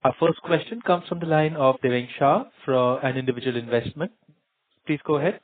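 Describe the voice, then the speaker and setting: A man's voice: medium pitch, brisk, no echo. Male operator, dry phone line. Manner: polite, formal. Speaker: conference call operator. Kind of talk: scripted call announcements.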